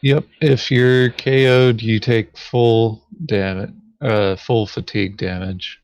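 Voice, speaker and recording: Low voiced guy talking real slow over a board game, dull sound. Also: little pitch movement, a little mellow, clean tone, no room sound. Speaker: tabletop board game player. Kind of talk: casual chat during board game play.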